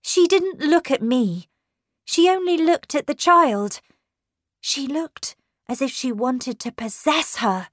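A woman's voice: sits high, slow, husky, no echo, clean studio sound, pitched above the performer's own voice, full character voice. A woman voicing an anxious, insistent young governess in a storybook narration, speaking in an urgent, emphatic, impatient manner. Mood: horrified, urgent.

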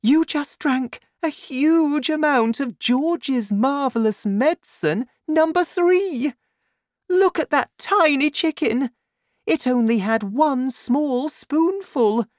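A woman voicing an anxious, exasperated mother in a storybook, crying out in a high voice. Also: big pitch swings, slow pace, darkish tone, no room sound, studio quality. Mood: alarmed. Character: anxious, exasperated mother, protective of her family.

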